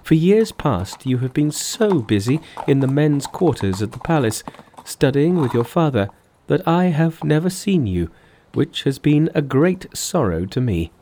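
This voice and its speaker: A man plays a storybook queen mother, anxious and full of sorrow. The voice is pitched well up, with a plaintive, pleading edge. The words are formal and tender, addressed to a beloved son. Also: very animated, unhurried, dark tone, slight background noise. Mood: tender concern. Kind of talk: storybook dialogue in a narrated folk tale.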